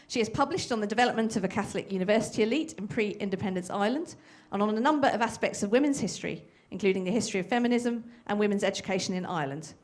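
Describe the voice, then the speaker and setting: A woman's voice: mid-range voice, a little mellow. A woman archivist welcoming an audience, going through housekeeping notes and introducing the speaker in a businesslike way. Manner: brisk, businesslike announcing. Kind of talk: formal event introduction.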